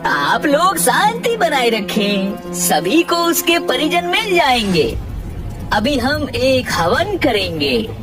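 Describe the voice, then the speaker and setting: A woman's voice: high voice, sing-song, slow, music in the background, full character voice. A woman voicing a sly, greedy fake holy man in a cartoon moral tale, speaking with pious, soothing assurance. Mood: calming.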